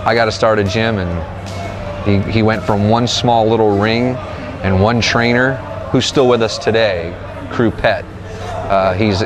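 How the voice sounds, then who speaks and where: Man in a noisy outdoor gym. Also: on the low side. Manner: halting, many restarts and fillers. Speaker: gym staff member. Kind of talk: on-camera interview. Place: open-air training gym, outdoors under a roof. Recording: outdoor noise behind the voice.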